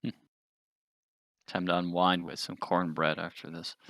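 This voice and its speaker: A man's voice: low voice, level tone, measured pace, fairly bright tone, no echo. A man talking casually as a player in a tabletop roleplaying game.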